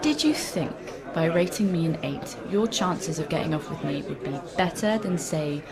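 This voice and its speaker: An actress as a young woman with a lowish voice that swings with expression, heard over busy crowd chatter. She is pedantic and coolly bossy, pressing each question precisely in a comic radio play. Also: lively intonation, normal speed, vocal fry. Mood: probing, pedantic. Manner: precise, methodical, persistent questioning. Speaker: actor in a short comedy play. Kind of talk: comic two-hander radio drama dialogue.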